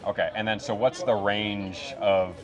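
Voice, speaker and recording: A man's voice: lowish voice, fairly even intonation, measured pace, crowd noise. A man interviewing casually, laid-back and agreeable in an informal way. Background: clear background music.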